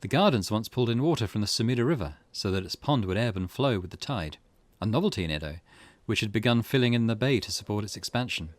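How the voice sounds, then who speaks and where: Low-voiced man with lively pitch. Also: fast talker, few pauses, dry recording. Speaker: gaming video host. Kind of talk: let's play narration, reading game text aloud.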